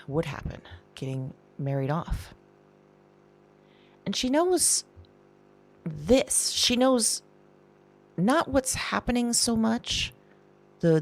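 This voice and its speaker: A woman playing an anxious, shaken woman recording an audio diary, halting and breathy, repeating herself and dropping into whispers. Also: on the low side, exaggerated voice. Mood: searching, unsettled. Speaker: audio drama performer. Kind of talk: intimate confessional audio diary acting.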